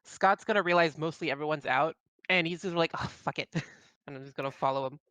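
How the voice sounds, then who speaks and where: A man's voice: fairly high pitch, brisk, a bit bright, clean tone, no echo. A man playing a tabletop roleplaying game, chatting casually with a wry, grumbling manner. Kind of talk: casual chat, in and out of character.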